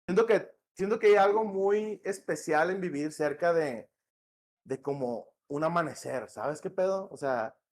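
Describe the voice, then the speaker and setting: A man from northwest Mexico with a northern Mexican accent, a game director talking as a podcast guest in a relaxed, slangy, rambling way. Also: on the high side, measured pace, slight background noise. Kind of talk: casual podcast interview. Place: home in Morelia.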